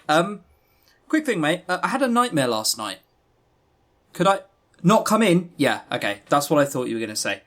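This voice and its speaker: A man with a slightly high voice and very lively intonation. He chats casually as a podcast co-host reviewing games, sarcastic and prone to swearing. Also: moderate pace, pauses often. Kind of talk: casual chat reviewing visual novels.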